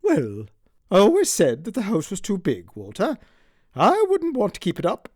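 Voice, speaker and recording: An older aunt, acted out by a male narrator, chatty and blunt, lifts high and swoops wildly as she gossips. She gushes in folksy exclamations, like a storybook busybody, recorded close and dry. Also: wildly swooping pitch, moderate pace, darkish tone, slightly rough, dry recording, full character voice. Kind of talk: audiobook character acting.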